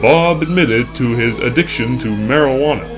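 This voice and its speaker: A man's voice: medium pitch, moderate pace, music in the background. A young man reading scripted narration in the earnest, formal announcer style of a 1960s educational film. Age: young adult.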